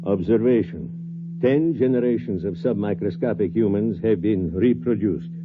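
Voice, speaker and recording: An actor playing a grave scientist in a 1950s radio drama, speaking in a clipped, solemn, measured way over a muffled vintage recording. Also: male voice, fairly low pitch, noisy background, close to an everyday voice. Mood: solemn, clinical. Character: grave male scientist running a desperate experiment on humanity. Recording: muffled vintage radio, loud humming electronic tones.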